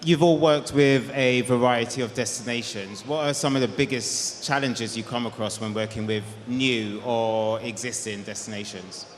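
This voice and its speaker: A man's voice: mid-range voice, fairly even intonation, moderate pace, hardly any pauses, a little hiss or hum. A man moderating a conference panel, hesitant with frequent ums. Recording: reverberant hall.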